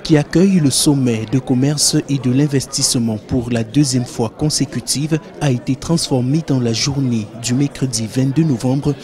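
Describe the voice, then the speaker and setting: A man reading formal, scripted documentary narration in an even, measured way. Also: mid-range voice.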